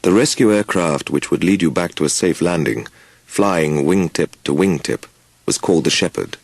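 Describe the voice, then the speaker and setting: A man reading a first-person story as an audiobook narrator, with measured, deliberate phrasing and dramatic pauses. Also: deep voice, expressive, vocal fry, husky, no echo.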